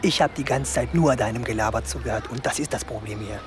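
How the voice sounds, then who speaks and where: A man's voice: medium pitch, fast talker, noisy background, natural voice. A young man playing a bitter, angry eighteen-year-old. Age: young adult. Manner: curt and bitter. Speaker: son.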